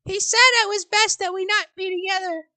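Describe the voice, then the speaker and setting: A woman voicing a frail, defensive elderly mother in a casual reading of a screenplay, with a high quavering wail. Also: fairly even intonation, normal speed, pushed and bright, exaggerated voice. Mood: wistful.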